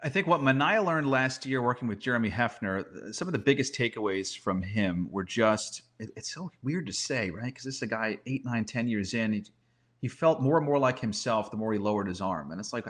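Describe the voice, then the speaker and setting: A man's voice: on the low side, animated, brisk, fairly bright tone. A man, a sports broadcaster as podcast guest, talks through baseball analysis conversationally, often posing questions to himself.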